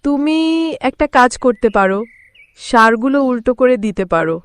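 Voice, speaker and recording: A woman plays a practical farmer's wife in a village cartoon. Her voice is high, clean and smooth, with a homely manner. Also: animated, moderate pace, no echo, lightly acted. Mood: chiding, practical. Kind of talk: Bengali cartoon voice acting.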